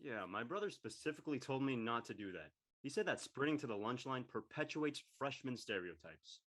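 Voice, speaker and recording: A man's voice: medium pitch, lively intonation, fairly quick, a bit bright. A man playing an upbeat, laid-back teenage boy, a casual, encouraging best friend in a comedy drama. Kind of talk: comedic scripted audio drama dialogue.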